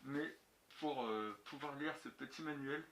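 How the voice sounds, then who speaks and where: A man's voice: medium pitch, unhurried. A man giving a casual, unscripted product review in colloquial, slangy speech with some mild swearing. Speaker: motovlogger reviewing gear. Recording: echoey room, clicks and clear background noise.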